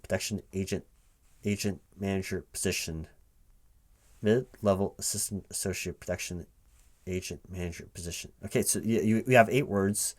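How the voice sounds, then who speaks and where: A man speaking aloud in a one-sided ASMR job interview roleplay as a recruiting manager, halting and repeating himself as if puzzled. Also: on the low side, a little mellow. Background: computer keyboard typing.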